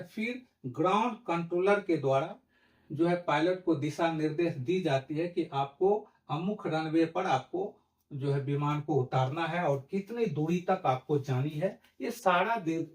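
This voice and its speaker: A man's voice: a little high, darkish tone. A man giving an explanatory lesson in a didactic, step-by-step way. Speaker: educational presenter. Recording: roomy echo, distant microphone.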